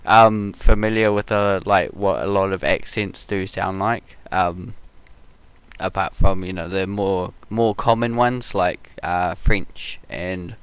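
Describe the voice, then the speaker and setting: Slow, smooth-voiced Australian man. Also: sits low, steady tone, no echo. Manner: laid-back, with many fillers. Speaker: host. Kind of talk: casual interview chat.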